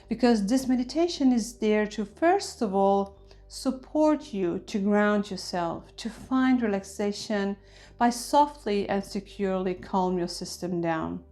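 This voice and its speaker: A woman guides a meditation in a gentle, soothing ASMR manner. She takes her time, leaving deliberate space between phrases at a very slow pace. Her voice sits at a medium pitch, with soft music behind it. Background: soft music with chimes.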